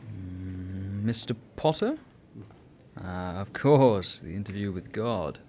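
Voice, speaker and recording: An actor in a radio play playing an ambitious young privately schooled receptionist, polite and slyly insinuating. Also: male voice, deep voice, animated, slow, darkish tone, a little hiss or hum. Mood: polite, teasing. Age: young adult.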